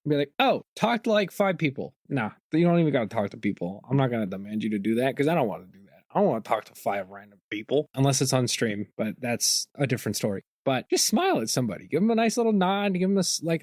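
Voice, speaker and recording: A man's voice: mid-range voice, darkish tone, no echo, clean studio sound. A young man hosting a podcast, rambling casually and informally with slangy, profane turns of phrase. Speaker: podcast host.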